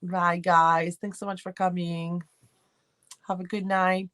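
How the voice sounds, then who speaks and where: A chatty woman with a light Argentine accent hosts a relaxed livestream, rambling from one thought to the next as she reads and answers comments. Her voice sits a little low for a woman, and the sound is dry and close with no room echo. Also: slow. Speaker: livestream host. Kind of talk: casual livestream chat with viewers.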